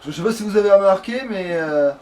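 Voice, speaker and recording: A man's voice: high voice, fast talker, recorded from a distance, crowd noise. A man doing casual let's play commentary, rambling and grumbling in crude slang with plenty of swearing. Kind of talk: casual gameplay commentary chat.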